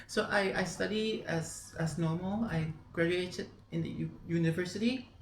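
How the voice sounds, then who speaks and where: Soft-spoken low-voiced Thai woman. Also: moderate pace, reverberant, slight background noise. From Thailand, Bangkok. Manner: polite, careful, searching for words. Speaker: interviewee, charity centre helper. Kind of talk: interview answers.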